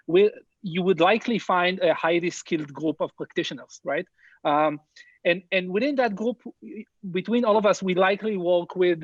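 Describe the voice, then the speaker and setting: High-voiced man hosting. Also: dry recording, dull audio. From Israel. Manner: hesitant, many fillers and restarts. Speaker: workshop facilitator, design director. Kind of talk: presenting a workshop intro.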